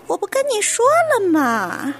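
Woman as a high, squawking know-it-all parrot. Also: sing-song, a little slow, faint music behind, exaggerated voice. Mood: smug. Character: smug, nagging blue parrot.

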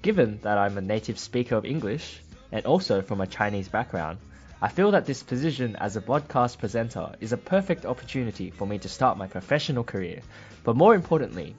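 A man co-hosts a casual podcast English lesson and gives dry, teasing answers. His pitch is animated. The sound is muffled and lo-fi, with soft music behind him. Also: fairly quick, slightly rough. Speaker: podcast co-host, native English speaker.

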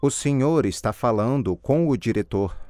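A man reading model answers in a language course drill, slow and clearly enunciated. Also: medium pitch, expressive, background noise.

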